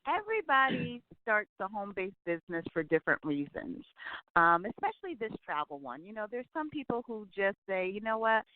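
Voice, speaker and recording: A woman's voice: medium pitch, very animated, a little fast, no room sound. A woman in her forties, a guest in a phone interview, talking upbeat and enthusiastic about her business. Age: 40s. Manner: upbeat, enthusiastic, says "absolutely" often. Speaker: guest, travel business owner. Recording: tinny phone line.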